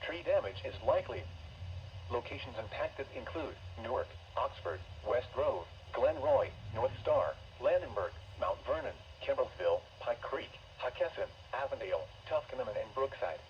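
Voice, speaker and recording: Automated male announcer, middle pitch, stilted synthetic delivery of emergency bulletins, dull weather radio sound with light static. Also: big pitch swings, moderate pace, vocal fry. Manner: stilted, synthetic, formal phrasing. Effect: synthesized voice over weather radio.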